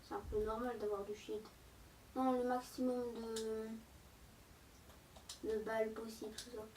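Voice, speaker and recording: A woman's voice: on the high side, little pitch movement, unhurried, echoey room, far from the mic, background noise. A young woman with a slight cold, chatting to her viewers through a game with lots of fillers. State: has a cold, sore throat. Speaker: gaming YouTuber. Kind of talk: casual gameplay commentary.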